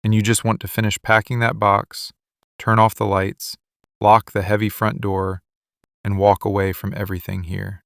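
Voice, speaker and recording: A man playing a possessive, wounded mafia boss in a boyfriend ASMR roleplay, speaking in deliberate, clipped, interrogating phrases. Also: on the low side, level tone, gravelly, a bit bright, no room sound, clean studio sound. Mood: bitter, wounded.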